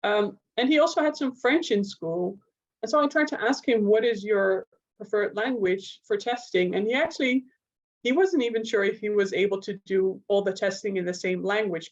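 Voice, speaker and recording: A woman's voice: medium pitch, moderate pace. Dutch-accented woman lecturing, slightly dull audio. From Netherlands. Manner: explanatory, illustrates points with examples. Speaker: clinical neuropsychologist giving a lecture. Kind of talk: academic presentation, semi-spontaneous.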